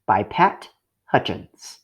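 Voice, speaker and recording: A man reading a children's story aloud in a deliberate, expressive narrating style. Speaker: storybook reader.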